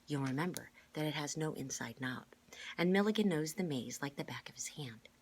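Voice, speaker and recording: A woman voicing a courteous, gentle young woman in a storybook, measured and reassuring. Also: deep voice, animated, a little hiss or hum.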